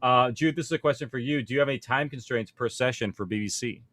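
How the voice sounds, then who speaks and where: A man's voice: mid-range voice, quick pace, a bit bright, no echo. Man giving practical panel advice, dry sound. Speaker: audio drama producer, panel speaker. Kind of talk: informal panel presentation, explaining.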